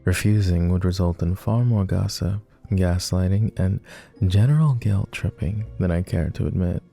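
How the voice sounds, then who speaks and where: A man playing a doting, refined vampire gentleman in a romantic roleplay ASMR, with formal, courtly and gently teasing speech. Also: deep voice, normal speed, vocal fry, faint music behind. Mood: teasing, affectionate.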